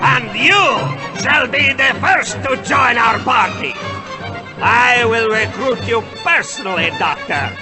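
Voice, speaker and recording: A menacing vampire ruler in an audio drama. His voice is very high for a man and unhurried, with a pompous, rallying delivery. Music plays clearly behind him. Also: expressive, exaggerated voice, poor audio. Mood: gloating menace. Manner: pompous, declamatory. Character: grandiose menacing male vampire leader rallying his kind. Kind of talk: theatrical audio drama oratory.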